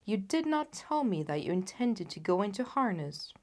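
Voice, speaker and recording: A woman's voice: medium pitch, big pitch swings, moderate pace, darkish tone, lightly acted. A woman voicing a keen, self-assured male detective with a precise, teasing, knowing manner in an audiobook. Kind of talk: audiobook character voice.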